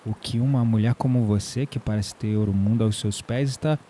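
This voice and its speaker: A man acting a mellow, flirtatious Brazilian neighbour. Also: fairly low pitch, fairly even intonation, moderate pace, slight background noise, lightly acted. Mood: curious, playful. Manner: playful teasing, flirtatious. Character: mysterious male neighbour, flirtatious, playful, calmly teasing. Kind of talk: audio drama dialogue.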